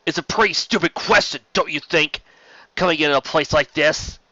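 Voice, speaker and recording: Man voicing captive military captain, audiobook character, bitter, sardonic, defiant, bright forceful tone, slightly lo-fi. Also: on the high side, moderate pace, no echo. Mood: wary, sardonic. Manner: sardonic, embittered. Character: bitter, defiant male war captain held prisoner.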